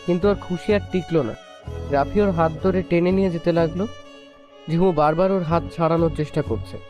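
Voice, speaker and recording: A man's voice: on the high side, steady tone, a little fast, darkish tone, soft background music. A man narrating a scripted romantic story as its storyteller.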